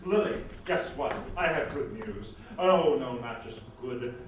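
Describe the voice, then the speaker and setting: A man's voice: on the high side, expressive, unhurried. A man playing a pompous, scheming theatre producer in a screwball comedy reading, grandiose and theatrical in manner. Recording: very echoey hall, far microphone, noise.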